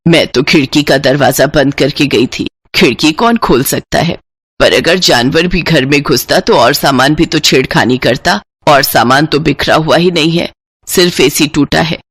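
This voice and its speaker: A woman voicing a caring, practical poor village mother in an animated moral story, with a concerned, motherly manner. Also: deep voice, normal speed, breathy edge, no echo. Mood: suspicious.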